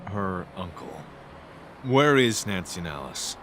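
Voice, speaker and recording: A low-pitched detective inspector, guarded and understated, tells his case in an audio crime drama. Music runs clearly behind his voice. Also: big pitch swings, a little slow, fairly bright tone, exaggerated voice. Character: wary, low-key detective inspector narrating his own investigation.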